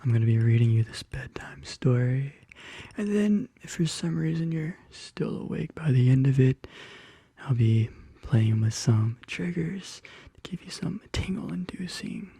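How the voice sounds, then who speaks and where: An ASMR storyteller speaks in intimate whispers and soft murmurs at an unhurried, soothing pace. The voice is very dark and breathy, with a gravelly creak, recorded close to the microphone for a bedtime story. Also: mid-range voice, expressive, dry recording. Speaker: ASMR video creator and host. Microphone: close studio condenser microphone.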